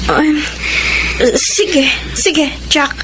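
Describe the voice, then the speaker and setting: Timid young Filipina sweetheart for radio drama: high-pitched, earnest, hesitant, noisy backdrop. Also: female voice, animated, drawn-out words, full character voice. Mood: surprised, shy. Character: timid, lovestruck young daughter, secretly married.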